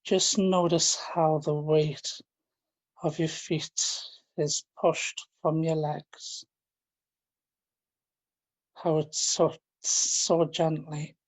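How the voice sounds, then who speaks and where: A man leading a guided relaxation, speaking in a gentle, informal and halting way, often repeating his phrases. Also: on the high side, little pitch movement, poor audio. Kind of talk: guided relaxation, meditation instruction.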